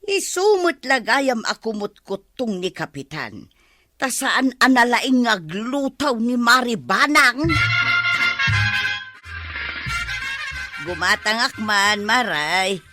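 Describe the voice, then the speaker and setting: Woman playing a slow, bright-voiced older auntie. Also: a little high, big pitch swings, faint music behind, exaggerated voice. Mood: disapproving. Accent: Filipino, Ilocano. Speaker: actor in a comedy drama. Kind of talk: Ilocano radio comedy drama acting.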